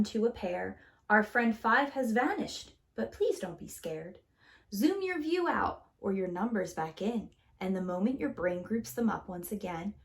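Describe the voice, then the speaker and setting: A woman reading a children's picture book aloud as a preschool teacher, with an expressive, theatrical, sing-song delivery. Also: on the high side.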